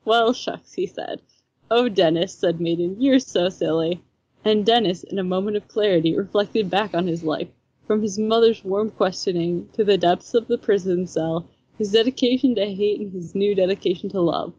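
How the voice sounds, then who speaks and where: A woman co-hosting a podcast, reading comic fan fiction aloud casually in a husky, slightly breathy voice. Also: medium pitch, normal speed, darkish tone, muffled recording.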